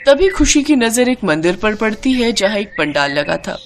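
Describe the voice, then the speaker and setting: A woman narrating a scripted moral story in an expressive, dramatic way. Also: medium pitch, moderate pace, slight background noise.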